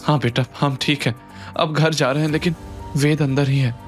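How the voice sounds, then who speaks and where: A man's voice: a little high, fairly even intonation, moderate pace, faint music behind, natural voice. A man voicing a shaken, crying mother in an audio drama. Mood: tearful relief. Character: tearful, shaken mother of a grown son.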